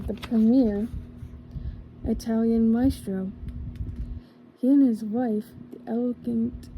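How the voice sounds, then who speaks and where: Slow, mellow-voiced woman reading softly. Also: a little high, slight background noise, rough recording. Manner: gentle, with small slips and self-corrections. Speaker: ASMR storyteller. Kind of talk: soft ASMR story reading.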